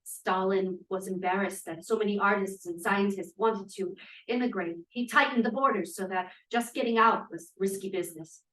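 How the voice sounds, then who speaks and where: An actress playing an earnest, idealistic young Russian émigré scientist in a 1950s period drama at a table read. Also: female voice, mid-range voice, moderate pace, fairly bright tone, close to an everyday voice. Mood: confessional, sad. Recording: echoey room, distant microphone.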